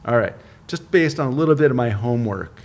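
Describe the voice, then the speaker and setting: A man hosting a podcast interview in a relaxed, upbeat, chatty way, joking with his guests. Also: mid-range voice. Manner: upbeat, chatty, quick to joke. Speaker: podcast host. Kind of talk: casual interview chat.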